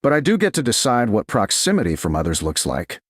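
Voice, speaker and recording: A man voicing a calm, certain, protective nobleman in an audiobook romance, with quiet, firm, clipped replies. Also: medium pitch, a little fast, dry recording, clean studio sound. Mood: intimate, firm.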